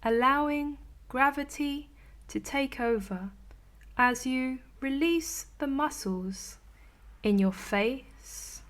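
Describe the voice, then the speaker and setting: Very slow-speaking woman guiding meditation. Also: high-pitched. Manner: soothing, gentle, unhurried with long pauses. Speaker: meditation teacher. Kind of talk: guided meditation.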